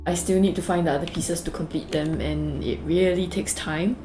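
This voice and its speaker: A young woman with a Singaporean English accent talks her way through a cozy game tour in a low-pitched voice. Her delivery is loose and chatty, full of fillers and small asides. Faint music plays quietly behind her. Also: fairly even intonation, normal speed. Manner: chatty, rambling, many fillers and "like"s. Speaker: gaming video creator. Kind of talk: casual game tour narration.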